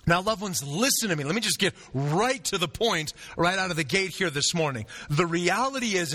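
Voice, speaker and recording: A man's voice: sits high, bright, forceful tone. A man preaching a sermon as pastor, earnest and repeating phrases for emphasis, with a sore throat and a fading voice.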